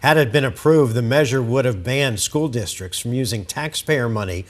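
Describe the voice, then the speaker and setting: A man anchoring an evening newscast, hoarse as he loses his voice, reading with lively delivery. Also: mid-range voice, normal speed. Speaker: news anchor.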